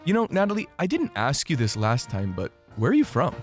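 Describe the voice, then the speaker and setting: A man's voice: mid-range voice, huge pitch swings, a little fast, darkish tone, faint music behind. A man from Venezuela, raised in Miami, co-hosting a podcast with easygoing banter and a read intro. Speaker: podcast co-host. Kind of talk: casual show intro.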